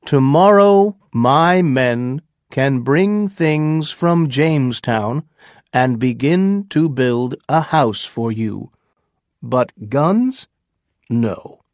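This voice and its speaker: A man's voice: mid-range voice, animated, drawn-out words, a little mellow, no echo, clean studio sound. A man voicing a bold, commanding colonial captain who speaks firmly and with measure. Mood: calm.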